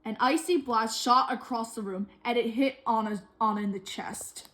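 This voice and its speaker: A woman's voice: on the high side, moderate pace. A woman reading a children's story aloud expressively, acting out the characters' dialogue as she goes. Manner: animated, acts out dialogue. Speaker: storybook reader.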